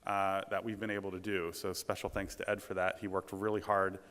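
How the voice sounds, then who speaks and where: Booming man in a lecture hall. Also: monotone, a bit bright. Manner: halting, many ums and restarts. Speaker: conference speaker, task force president. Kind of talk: conference presentation. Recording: reverberant hall.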